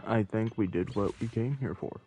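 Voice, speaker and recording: A man's voice: on the low side, normal speed, mellow, a little hiss or hum. A man chatting casually on a game livestream, rambling and thinking aloud, with game music behind him. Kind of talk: casual livestream chat while playing.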